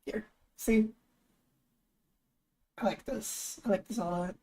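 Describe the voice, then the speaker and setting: A young woman streamer chatting casually as she works, thinking aloud, her voice lower than usual today, with bursts of high excitement. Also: takes it slowly, creaky. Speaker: streamer and artist. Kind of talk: casual live-stream chat while working.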